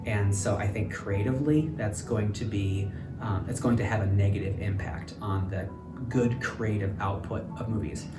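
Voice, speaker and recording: A man's voice: lowish voice, moderate pace. Thoughtful man in his thirties, distant mic. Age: 30s. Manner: hesitant, thoughtful, frequent ums. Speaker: interviewee. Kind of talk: reflective interview answers. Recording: roomy, distant mic, music underneath.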